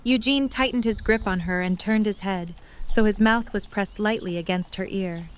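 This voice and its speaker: A woman's voice: mid-range voice, normal speed, clean tone. A woman reading scripted third-person story narration for an audio drama.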